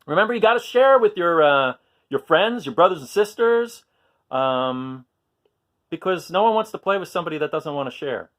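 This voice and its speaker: Animated man reading aloud to young children. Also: fairly high pitch, moderate pace. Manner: expressive, simple explanations, addresses children directly. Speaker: children's storyteller, teacher.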